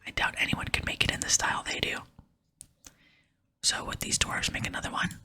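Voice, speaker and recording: A woman whispering in ASMR style, softly and slowly reading video game dialogue aloud. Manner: soft, slow, hushed reading. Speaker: ASMR gaming channel host.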